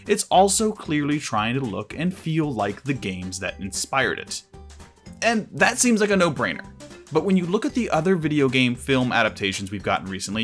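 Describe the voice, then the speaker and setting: Man, scripted review commentary, lively swooping intonation, articulate, emphatic, light music underneath. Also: mid-range voice. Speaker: video host and reviewer. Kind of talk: scripted video essay commentary.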